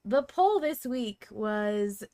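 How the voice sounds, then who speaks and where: Woman, podcast host, chatty, playfully enthusiastic, mid-pitched, dry close sound, casual. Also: lively intonation, drawn-out words, warm tone, no echo.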